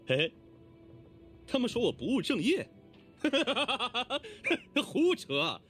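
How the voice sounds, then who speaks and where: Cocky hot-blooded oni boss, game voice, pitch flying all over, theatrical bragging, bit of music behind. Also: male voice, huge pitch swings, takes it slowly, a bit bright, exaggerated voice. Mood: indignant, rowdy. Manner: boastful, theatrical, rowdy. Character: swaggering, hot-blooded young male oni gang boss. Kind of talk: animated video game character voice lines.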